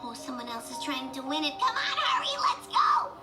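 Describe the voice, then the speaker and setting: A woman voicing a spunky, cheeky little girl racer in an animated story, sassy and bubbly. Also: high voice, moderate pace. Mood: urgent. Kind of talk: animated film character acting. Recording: loud music behind the voice.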